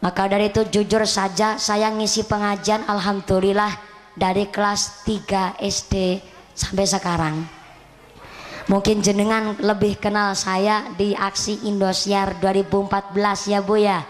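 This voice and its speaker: A young Javanese-accented woman gives a sermon on stage, talking easily and joking with her listeners. Her voice, neither high nor low, carries through a reverberant space, with faint noise underneath. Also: little pitch movement, measured pace. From Central Java, Indonesia. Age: 20s. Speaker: Islamic preacher. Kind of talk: lively stage sermon to a village audience. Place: outdoor stage at a Quran graduation event.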